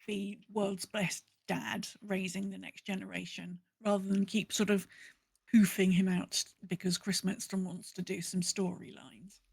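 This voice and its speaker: A woman's voice: medium pitch, level tone, unhurried, creaky, darkish tone, husky. A woman co-hosting a podcast, talking casually and animatedly, often acting out imagined remarks. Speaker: podcast co-host. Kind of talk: casual conversational discussion of a video game.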